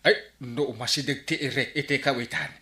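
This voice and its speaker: An actor playing a bumbling, excitable village captain in an Ilocano comedy radio drama, stammering and exclaiming with an Ilocano accent. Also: male voice, mid-range voice, brisk, roomy sound, close to an everyday voice. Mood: blustering.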